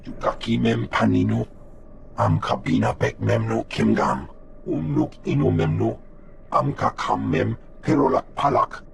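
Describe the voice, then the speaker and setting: A man's voice: lowish voice, lively intonation, unhurried, background noise, exaggerated voice. A man voicing an alien intelligence profiler in a guttural alien tongue for a video game. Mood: matter-of-fact. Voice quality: gravelly, guttural.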